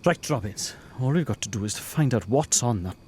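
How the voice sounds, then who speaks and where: A man playing a practical, exasperated police sidekick in a comic audio drama, speaking haltingly with stammers. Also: mid-range voice, animated, a little mellow, a little hiss or hum, close to an everyday voice.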